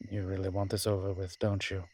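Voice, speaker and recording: A man playing a wry, flirtatious immortal incubus demon in a roleplay audio drama, with a husky voice and a dry, teasing, conversational manner. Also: deep voice, dark tone, no room sound. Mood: uneasy, wry.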